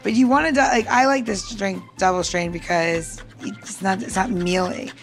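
A woman's voice: mid-range voice, steady tone, moderate pace, faint music behind. A woman chatting informally and self-deprecatingly as she demonstrates a cocktail recipe as a podcast host.

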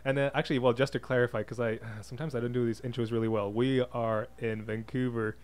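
A man's voice: mid-range voice, flat delivery, fast talker, darkish tone. A man hosting a podcast interview in a casual, conversational style. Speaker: podcast host. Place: podcast booth inside a diner. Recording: clear background ambient noise.

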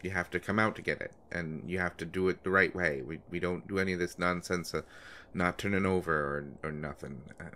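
A man acting as a low-voiced, anxious, devoted boyfriend. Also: fairly even intonation, pushed and bright. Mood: anxious, sheepish. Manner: hesitant, stammering, halting. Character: anxious, devoted new boyfriend of a pregnant woman. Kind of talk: intimate roleplay audio acting. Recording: noticeable background noise.

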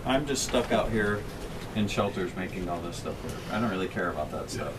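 A man's voice: deep voice, lively intonation, brisk, background noise. Middle-aged male tech, plain-spoken, explaining machinery on a tour; distant camera mic, humming room. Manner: plain-spoken, technical, gestures at the equipment. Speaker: transmitter technician. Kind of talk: explaining equipment on a guided tour. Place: inside a metal equipment shelter, small group standing close. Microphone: camera held by a visitor a few feet away.

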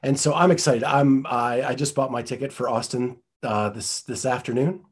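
A man's voice: mid-range voice, fairly even intonation, moderate pace, breathy edge. A man hosting a podcast, asking questions with friendly enthusiasm into a studio mic in a home studio. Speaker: podcast host. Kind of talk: casual interview. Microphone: studio mic in front.

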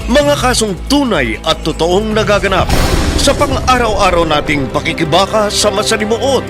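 A male radio host with a Filipino accent reads a dramatic scripted drama intro. His voice is notably high, and music plays clearly behind him. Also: expressive, moderate pace. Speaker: radio announcer and program host.

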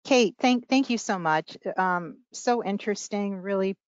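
A woman's voice: medium pitch, a little slow, dry recording. A woman moderating a panel, thanking a speaker with many fillers. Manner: appreciative, filler-heavy. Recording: dry, dull call audio.

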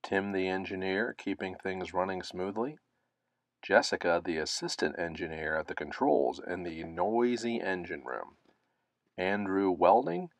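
A man reading a children's picture book aloud, phrasing it deliberately and stressing key words. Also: deep voice, animated, no room sound. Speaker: storybook reader.

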